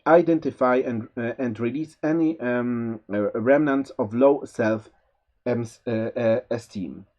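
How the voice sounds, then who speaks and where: A man with a Polish accent reading a tarot forecast, giving gentle spiritual advice with many fillers and restarts. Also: medium pitch. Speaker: tarot reader. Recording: slightly dull lo-fi sound.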